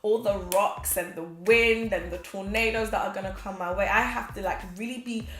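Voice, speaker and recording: A woman's voice: mid-range voice, normal speed. Upbeat young woman vlogging in an echoey room. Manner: energetic, expressive, gestures a lot, laughs often. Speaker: vlogger, motivational host. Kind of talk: casual motivational chat to camera. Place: small indoor room, seated on a chair. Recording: roomy echo with faint background music.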